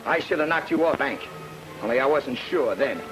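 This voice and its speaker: A man's voice: medium pitch, expressive, normal speed, natural voice, low-quality recording. Man playing a swooping, hot-tempered radio gangster boss. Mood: sullen, scornful. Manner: menacing, slangy, scornful. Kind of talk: old time radio noir acting. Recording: old radio recording, music underneath.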